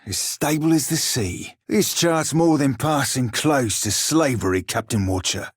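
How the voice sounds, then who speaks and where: Little male pirate shiphunter, raspy, roguish, wry seafaring dialect, video game character, very dry studio sound. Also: medium pitch, a little slow, dry recording. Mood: wry, teasing. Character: small, roguish, salty blue pirate shiphunter.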